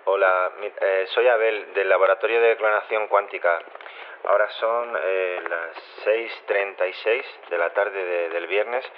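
A man playing an awkward lab scientist, leaving a halting, flustered voicemail in an audio drama. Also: deep voice, fairly even intonation. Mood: awkward, hesitant. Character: awkward, hesitant male cloning-lab scientist. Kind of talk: acted voicemail announcement. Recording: tinny noisy phone line.

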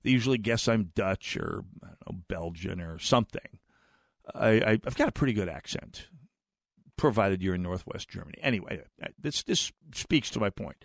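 American talk radio host, dry studio sound. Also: male voice, fairly low pitch, animated, normal speed, vocal fry, dry recording. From United States. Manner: chatty, digressive, repeats words when restarting.

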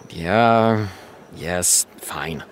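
An actor playing a weary male TV show creator, with a deadpan, sardonic, reluctant manner in a naturalistic audio drama. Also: deep voice, very slow, a little hiss or hum. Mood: dry, weary.

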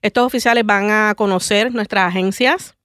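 A woman's voice: mid-range voice, moderate pace, a bit bright, smooth, dry recording, clean studio sound. A woman police officer who is a guest on an interview, talking eagerly and explaining at length.

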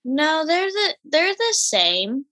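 A woman in her twenties, an elite gymnast and interview guest, talking in a relaxed, giggly way with many fillers. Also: sits high, takes it slowly. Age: 20s. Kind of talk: casual interview chat.

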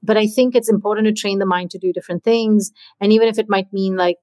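A woman's voice: mid-range voice, steady tone, fast talker, darkish tone, no room sound. A woman hosting a podcast, chatting casually and thinking aloud with lots of fillers. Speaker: podcast host. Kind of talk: conversational interview. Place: in India.

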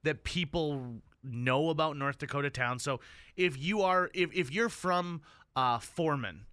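A man's voice: fairly high pitch, measured pace, pushed and bright, clear, resonant tone, no room sound. An American man chatting casually as a radio host in a studio discussion.

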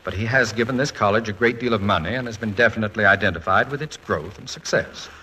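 An American actor playing a witty, proud, fretful middle-aged college president and husband in a wry, articulate 1940s radio comedy-drama style. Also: male voice, fairly low pitch, quick pace, bright, forceful tone. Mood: wry, resigned. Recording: noisy 1940s radio recording.

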